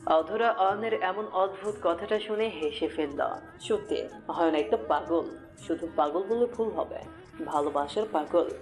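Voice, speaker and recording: A woman's voice: on the low side, measured pace, darkish tone, soft background music. A woman reads a scripted romantic story as its narrator.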